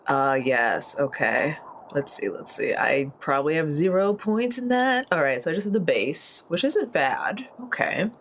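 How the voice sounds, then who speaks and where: A woman with a fairly low, very animated voice plays a tabletop roleplaying game. She talks through her choices aloud in a casual, playful way. There is faint room noise behind her. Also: a little slow.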